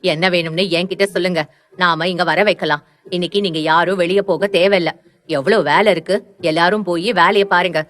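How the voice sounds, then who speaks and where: A woman's voice: on the low side, fairly even intonation, a little fast, a bit bright, close to an everyday voice. A woman playing a stern, domineering middle-aged mother in an audio story, scolding and threatening with emphatic delivery. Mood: commanding. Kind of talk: Tamil audio story acting.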